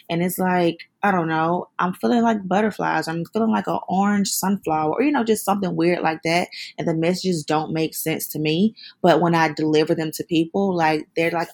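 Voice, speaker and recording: Southern woman, gravelly voice, lowish pitch, chatty podcast guest, filler-heavy. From South Carolina. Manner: chatty, many fillers like "like" and "you know". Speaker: tarot reader and Reiki healer, guest. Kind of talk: casual podcast chat.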